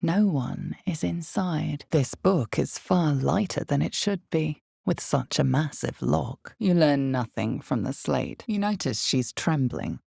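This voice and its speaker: A woman voicing a grave, ominous fantasy game narrator, with a gravelly voice and a measured, dramatic delivery in scripted second person. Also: sits low, lively intonation, dark tone, no echo, studio quality, full character voice. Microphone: close studio microphone, dry.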